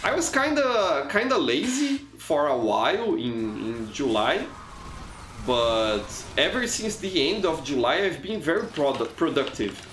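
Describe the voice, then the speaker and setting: Brazilian man, Portuguese native, game streamer; chatty, stumbles and repeats words; a bit high-pitched; roomy sound, faint music. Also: big pitch swings, unhurried, fairly bright tone, slight background noise. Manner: chatty, frequent restarts and repeated words, reads chat aloud. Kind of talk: casual live gameplay commentary.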